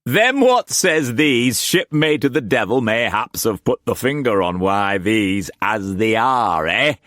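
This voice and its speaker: A man voicing a coarse, boisterous old male sea captain with a rough dialect. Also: mid-range voice, sing-song, a little slow, fairly bright tone, no room sound, clean studio sound, voice pushed up. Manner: coarse, bellowing, dialect-heavy.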